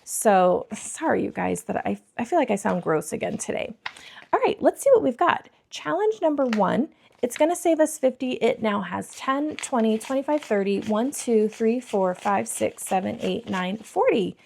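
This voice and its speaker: A woman in her thirties with a croaky, scratchy throat, chatting to viewers as she counts cash for savings challenges. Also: fairly high pitch, expressive, moderate pace, darkish tone. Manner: bubbly, addresses viewers as friends, counts money aloud. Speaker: budgeting vlogger.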